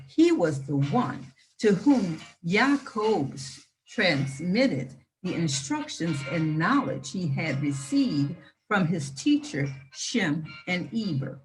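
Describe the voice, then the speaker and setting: Female reader, emphatic and informal, unhurried pace with very animated intonation. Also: on the low side, poor audio. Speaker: reader with commentary. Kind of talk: reading aloud with informal asides.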